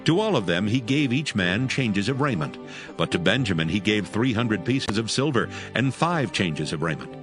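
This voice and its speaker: A man narrating scripture from a script as a formal storyteller. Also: fairly low pitch.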